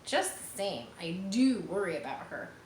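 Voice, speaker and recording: A woman's voice: medium pitch, sing-song, normal speed, roomy sound, slight background noise, close to an everyday voice. A woman reading the part of a polite, fretful farm mother in a storybook. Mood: worried. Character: worried, proper farm mother.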